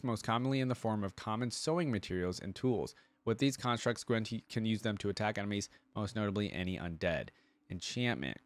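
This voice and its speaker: Male reaction host, lower-pitched, reads wiki-style text aloud with informal, off-the-cuff asides. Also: no room sound. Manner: informal, thinking aloud between read passages. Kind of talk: reading wiki lore aloud with casual commentary.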